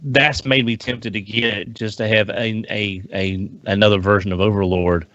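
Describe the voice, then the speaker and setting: A man's voice: fairly low pitch, steady tone, normal speed, a bit bright, dry recording, highs cut off. A man chatting casually on a hobby podcast, hesitant and often repeating his words. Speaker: podcast panelist.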